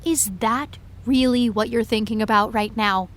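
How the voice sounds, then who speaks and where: Exasperated, high-pitched young woman, played by an actress. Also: fairly even intonation, moderate pace, close to an everyday voice. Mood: distraught. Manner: exasperated, emphatic, sarcastic. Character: upset, indignant young female fan venting to her friend. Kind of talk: naturalistic audio drama acting.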